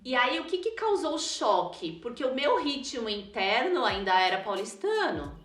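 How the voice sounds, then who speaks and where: A woman with a São Paulo accent telling her story as a guest in a casual interview, lively and quick to laugh. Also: on the high side, reverberant. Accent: São Paulo Portuguese. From São Paulo, Brazil. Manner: animated, laughs often, acts out quotes.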